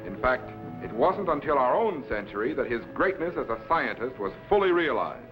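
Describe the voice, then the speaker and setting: An actor playing a commanding, scholarly time-machine captain in a crisp, authoritative 1950s science fiction serial style. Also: male voice, medium pitch, very animated, moderate pace, natural voice. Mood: instructive. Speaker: captain and mentor. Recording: dull vintage broadcast with loud music.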